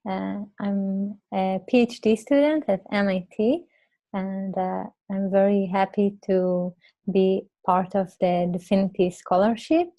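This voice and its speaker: A woman, a PhD student, introducing her research hesitantly, with many "uh" pauses. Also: mid-range voice, fairly even intonation, dark tone, no room sound. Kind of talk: interview answers. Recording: dull, dry sound.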